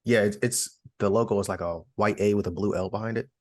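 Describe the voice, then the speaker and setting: Deep-voiced, quick man. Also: steady tone, dry recording, clean studio sound. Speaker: podcast co-host. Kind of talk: casual chat.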